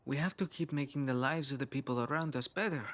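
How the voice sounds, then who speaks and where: A man voicing a driven, earnest young male scientist of poor roots in an intimate ASMR roleplay monologue, musing in measured phrases with thoughtful pauses. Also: medium pitch, a bit bright, voice pushed up, close to an everyday voice.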